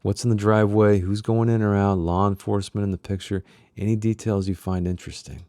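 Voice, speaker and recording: A man voices a secretive man in hiding from a noir novel. The voice is lowish, mellow and close, guarded and quietly earnest. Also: little pitch movement, a little fast, dry recording, natural voice. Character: secretive, troubled man believed dead, asking favors.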